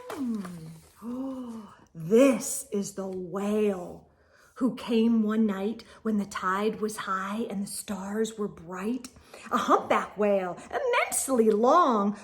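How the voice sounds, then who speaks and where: A woman storyteller reading a children's picture book aloud in a dramatic, expressive way for young listeners. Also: a little high. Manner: dramatic, drops into whispers, addresses young listeners.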